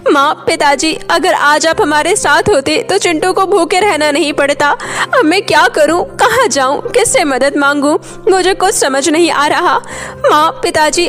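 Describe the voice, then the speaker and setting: A woman's voice: very high-pitched, fairly bright tone, voice pushed up. A woman voicing a poor, caring orphaned elder sister in a cartoon story, earnest and tender. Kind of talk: Hindi cartoon moral story.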